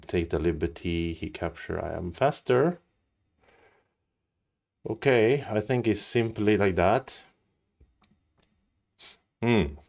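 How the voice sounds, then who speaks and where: A man's voice: lowish voice, a little mellow. A man teaching go on a live stream, thinking aloud in a casual, musing way with a slightly stuffy nose. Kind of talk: live-stream commentary while solving go problems.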